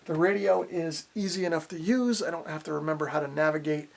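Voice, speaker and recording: A man's voice: fairly high pitch, fairly even intonation, brisk, creaky. Plain-spoken man reviewing gear. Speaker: radio reviewer and host. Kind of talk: casual product review and demonstration.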